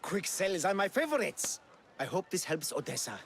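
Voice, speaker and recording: High-pitched, animated market merchant. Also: male voice, moderate pace, creaky, slight background noise, exaggerated voice. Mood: sly, pleased. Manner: salesmanlike, theatrical, ingratiating. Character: brash, money-loving male Greek market merchant. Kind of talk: video game street hawker acting.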